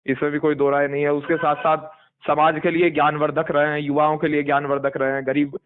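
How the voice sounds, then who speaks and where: A man answering as a political spokesperson in an interview, measured, repeating phrases and building slogan-like lists. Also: fairly high pitch, level tone. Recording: tinny recorded phone call.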